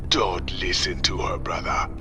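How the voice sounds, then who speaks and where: An actor playing a sly, menacing psychic assassin and pirate in a video game cutscene, with a cool, taunting manner and a breathy growl. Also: male voice, low-pitched, steady tone, sharp tone, faint music behind. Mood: urgent, taunting. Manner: cool, taunting, drawn-out familiar address.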